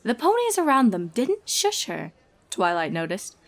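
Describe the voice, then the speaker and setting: Animated woman storyteller. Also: fairly high pitch, measured pace. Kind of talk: scripted narration of a comic fan story.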